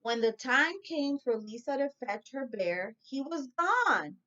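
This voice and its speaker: A woman teacher reading a storybook aloud to young children in a deliberate, instructive way. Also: high voice, sing-song, sharp tone, highs cut off.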